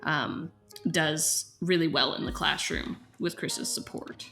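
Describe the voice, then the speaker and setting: Woman playing a low-voiced, concerned teacher. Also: fairly even intonation, takes it slowly, bright, forceful tone, soft background music, heavily acted. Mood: concerned, candid. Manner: hesitant, candid, conversational. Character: concerned, slightly overwhelmed female special education teacher. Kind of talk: naturalistic acted interview dialogue.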